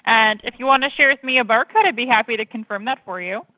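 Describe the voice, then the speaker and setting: A woman's voice: fairly high pitch, quick pace, no echo. A woman in customer service speaking politely and patiently, explaining things over the telephone. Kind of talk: polite scripted phone service talk. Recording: thin, narrow telephone line.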